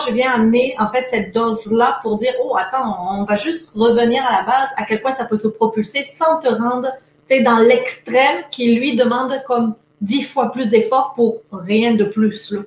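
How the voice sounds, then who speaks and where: A woman's voice: fairly high pitch, reverberant, slight background noise. Chatty woman, Montpellier-Québec accent. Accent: Montpellier French mixed with Québécois. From France. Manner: chatty, upbeat, lots of Québécois fillers. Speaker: productivity coach. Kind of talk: casual interview.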